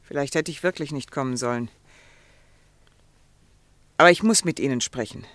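An actress playing a desperate, determined wife in a German radio drama, earnest, insistent and pleading. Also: female voice, rumbling low, moderate pace, fairly bright tone, no room sound. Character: Mrs Parsons, desperate, determined wife of an accused man.

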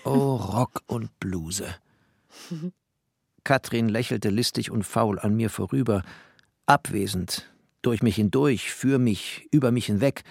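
An actor playing a wry, bookish man who narrates a literary radio play in a mannered, expressive way. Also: lowish voice, slow pace, gravelly, no echo, studio quality, heavily acted. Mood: lyrical, admiring. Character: bookish, wry first-person narrator, a former interpreter.

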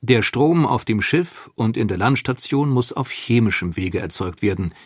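A man reading scripted documentary narration in a measured, explanatory manner. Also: medium pitch, expressive, husky, no room sound, studio quality.

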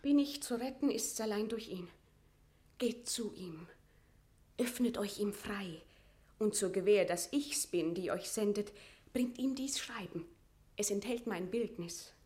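A woman's voice: mid-range voice, a little slow, lightly acted. An actress playing a proud, sorrowful captive queen in a classical verse radio drama, with a dramatic, declaimed delivery. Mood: hushed, resolute. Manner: dramatic, swings from hushed to anguished.

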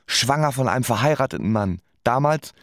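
An actor plays a world-weary musician narrating a radio drama in the first person. He is wry and laconic, with lively swings of pitch, recorded close and very dry. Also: brisk, no echo, lightly acted. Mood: wry, resigned. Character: wry, resigned male musician recounting his gambling life.

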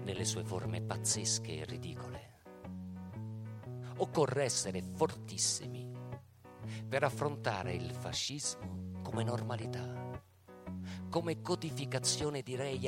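Slow, theatrical man reciting. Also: fairly low pitch. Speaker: radio theatre performer.

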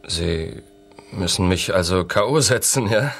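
Casting: evasive tough patient, very low voice, slow and terse. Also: male voice, expressive, gravelly, fairly bright tone, full character voice. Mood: evasive, sardonic. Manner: terse, guarded. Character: laconic, evasive man with a broken hand. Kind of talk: radio drama dialogue.

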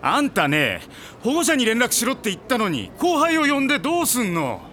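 An actor playing a gruff, weary police detective in an audio drama, grumbling and scolding with sarcasm. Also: male voice, very high voice, huge pitch swings, fairly quick, exaggerated voice. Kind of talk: anime-style audio drama acting. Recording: studio drama with music underneath.

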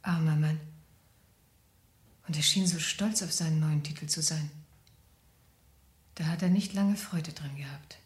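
A woman playing a concerned, insistent, chatty doctor's wife in a German radio crime play, speaking clearly. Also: sits low, measured pace, pauses often. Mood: pitying. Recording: roomy old radio drama studio.

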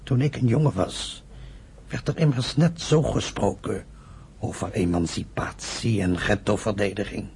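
A stern patriarch of a radio play, devout and solemn. His voice is low and very husky, and he admonishes in slow, measured phrases. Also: warm tone, full character voice. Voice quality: husky, gravelly. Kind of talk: radio drama acting.

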